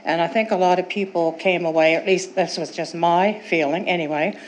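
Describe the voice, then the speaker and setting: A woman's voice: on the low side, steady tone, fairly quick, a little hiss or hum, low-quality recording. A woman resident giving a chatty, appreciative public comment at a town council meeting, rambling a little with fillers. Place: council chamber.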